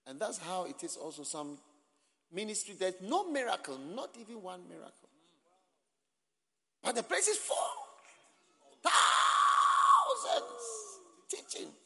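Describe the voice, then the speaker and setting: Ghanaian preacher, high bright voice, lively and loud at times, working a hall crowd. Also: male voice, takes it slowly, pauses often. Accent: Ghanaian English. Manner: animated, swinging from soft asides to shouting, call-and-response with the crowd. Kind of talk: sermon at a church camp. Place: large hall. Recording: long reverb of a large hall.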